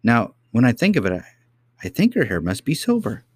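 A man's voice: mid-range voice, huge pitch swings, fairly quick, darkish tone, slightly rough, dry recording, studio quality, pitched above the performer's own voice. A man voicing an earnest, indignant little princess in a storybook, eager and insistent in a childlike way. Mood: eager, wondering.

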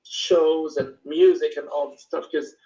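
A man with a Moroccan accent and a slightly high voice gives an informal personal talk. He explains things reflectively, often pausing as he searches for the next phrase. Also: flat delivery, mellow, poor audio. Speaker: speaker sharing personal experience.

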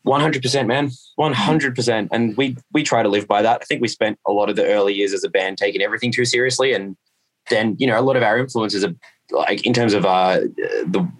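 Young male musician, fairly low register, eager casual interview talk. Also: fairly quick, a bit bright, low-quality recording. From Australia. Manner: enthusiastic, repeats words when starting sentences. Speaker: metal band musician and producer.

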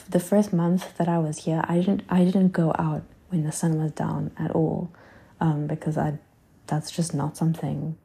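A woman in her twenties hosting a podcast, musing casually in a rambling way with many fillers and soft whispered asides. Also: lowish voice, gravelly. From South Africa. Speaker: podcast host. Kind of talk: casual reflective monologue.

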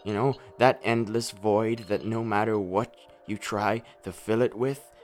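A man's voice: lowish voice, fairly even intonation, measured pace, darkish tone, natural voice. A man acting a weary, hard-drinking, despairing superhero in a darkly comic radio play, brooding and rambling with a sardonic edge. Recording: music playing under the voice.

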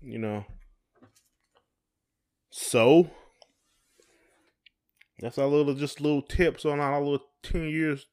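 A man's voice: a little high, slow pace, long pauses, darkish tone. A middle-aged man chatting casually and colloquially as a podcast co-host. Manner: colloquial, conversational. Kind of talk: casual chat.

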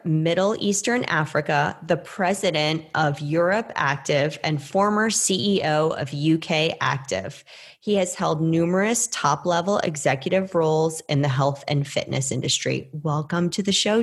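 Low, husky-voiced woman podcast host. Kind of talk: scripted podcast intro and interviewing.

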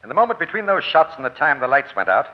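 An actor playing a smooth, evasive gambling club boss with a formal, measured manner in a 1940s radio crime drama. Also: male voice, on the high side, sing-song. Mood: controlled, evasive. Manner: formal, measured, self-possessed. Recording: muffled, noisy old radio broadcast.

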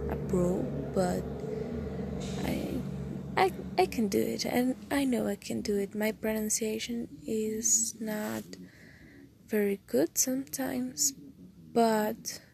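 A young woman, hosting a casual personal podcast in a soft, often half-whispered and hesitant way. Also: on the high side, takes it slowly, gravelly, long pauses, heavy background noise. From Costa Rica. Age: late teens. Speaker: podcast host.

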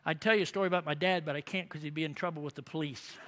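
Bright, high-voiced preacher in a hall. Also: male voice, very fast, background noise. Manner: storytelling, animated and humorous with rising emphasis. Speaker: pastor. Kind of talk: sermon.

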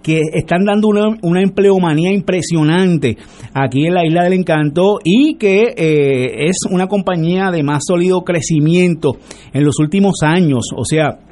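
Puerto rican guy pitching solar on the radio, highish voice, lots of "eh" and "verdad". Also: moderate pace, a little mellow. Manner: persuasive, filler-heavy with "eh" and "¿verdad?". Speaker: solar company representative, guest. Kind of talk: radio interview sales pitch.

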